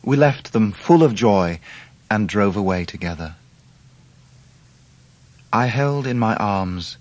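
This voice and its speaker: An actor playing a gentle, devoted English gentleman recalling his life in a radio drama, with measured literary phrasing. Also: fairly low pitch. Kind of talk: 1990s radio drama narration.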